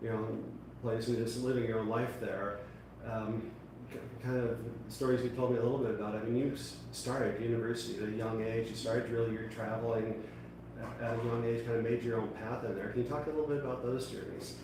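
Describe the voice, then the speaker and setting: A man's voice: medium pitch, steady tone, fairly quick. A man hosting an interview, asking rambling, hesitant questions full of fillers. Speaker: podcast host. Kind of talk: casual interview. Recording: distant echoey mic with background noise.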